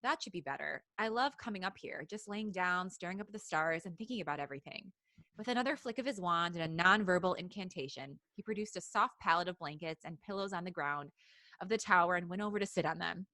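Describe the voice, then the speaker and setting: A woman with a bright voice and a close, dry sound reads a story aloud like a podcast co-host among friends. She is playful and giggly, happy to wander off into casual banter. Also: on the low side, animated, fast talker, dry recording. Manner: playful, giggly, digresses from the reading. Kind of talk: casual read-aloud of fan fiction with banter.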